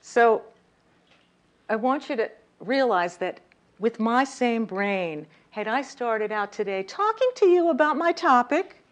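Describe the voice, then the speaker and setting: A woman with a slightly high voice giving a lively lecture on communication, often turning to the audience with questions. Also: a little slow. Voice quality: voice a little high, by her own account. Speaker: communication workshop speaker. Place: lecture room.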